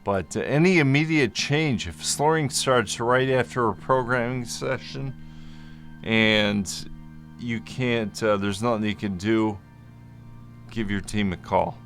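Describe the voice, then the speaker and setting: A man giving an informal explainer as a vlogger, speaking in a halting, deliberate way with long hesitations and at times mildly slurred speech. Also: medium pitch, a bit bright, soft background music. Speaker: vlogger sharing a personal medical journey. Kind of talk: informal explainer, part reading notes, part ad-libbed.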